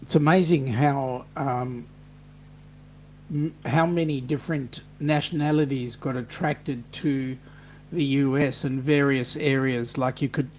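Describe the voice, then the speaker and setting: A man's voice: medium pitch, steady tone, a little slow, a little mellow, a little hiss or hum, rough recording. An Australian man hosting a casual livestream chat, upbeat and reading viewer comments aloud. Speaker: host.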